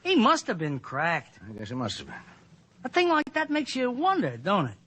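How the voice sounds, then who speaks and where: Gruff 1940s police detectives. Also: male voice, sits high, huge pitch swings, normal speed, a bit bright, exaggerated voice. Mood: grim. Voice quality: tough-guy slang. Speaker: police detectives at a crime scene. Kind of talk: 1940s radio acting. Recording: old radio broadcast recording.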